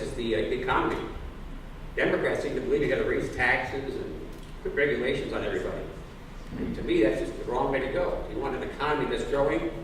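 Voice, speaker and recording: A man speaking as a candidate at a public forum, halting, with many fillers and restarts. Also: medium pitch. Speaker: political candidate. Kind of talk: forum speech. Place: public library forum room. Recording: echoey room, distant mic, noise.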